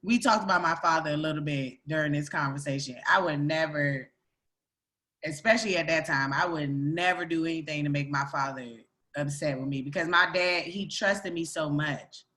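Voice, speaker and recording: Woman, born in Greece, bright voice, lively casual chat, laughs a lot, swears freely. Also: low-pitched, moderate pace.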